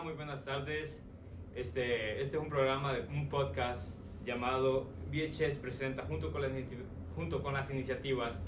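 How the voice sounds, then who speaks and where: A man hosting a podcast interview, halting and often repeating his own phrases as he asks questions. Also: mid-range voice. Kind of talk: casual interview. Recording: roomy sound from a distant mic with noise.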